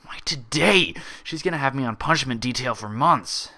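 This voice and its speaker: A man's voice: medium pitch, lively intonation, moderate pace, sharp tone, voice pushed up, exaggerated voice. A man voicing a cowardly, grumbling stallion orderly in a story reading, whiny and nervous. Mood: bitter grumbling. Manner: muttering complaints, stammering when cornered.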